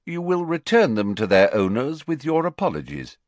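A man voicing a calm, stern elderly wizard schoolmaster in a storybook, measured, courteous and authoritative. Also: medium pitch, no echo, pitched above the performer's own voice, lightly acted. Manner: measured, deliberate, courteous but firmly authoritative.

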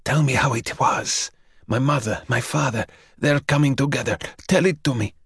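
A man's voice: mid-range voice, completely flat delivery, a little fast, a bit bright, husky, no room sound, studio quality. Desperate young guy man doing the voice, eager and pushy, storybook reading. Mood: urgent. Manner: urgent, pleading. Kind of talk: audiobook character voice.

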